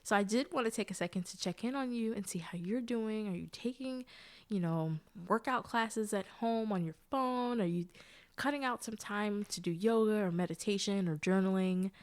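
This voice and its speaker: A woman's voice: mid-range voice, normal speed. Nasal, congested woman podcast host. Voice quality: nasal from allergies. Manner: chatty and friendly, laughs often, sisterly asides. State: congested, blocked sinuses. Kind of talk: casual solo podcast chat.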